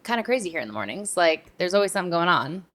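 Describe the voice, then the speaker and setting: Woman chatting casually, slightly low voice. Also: sing-song, racing, fairly bright tone. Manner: informal, slangy, thinking aloud. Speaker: podcast co-host. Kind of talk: casual podcast chat.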